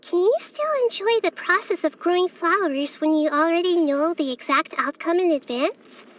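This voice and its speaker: A woman voicing a childlike girl god of wisdom for a video game, gentle, curious and thoughtful, speaking softly and carefully. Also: very high-pitched, moderate pace. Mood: pensive, wistful. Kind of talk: scripted video game character voice lines.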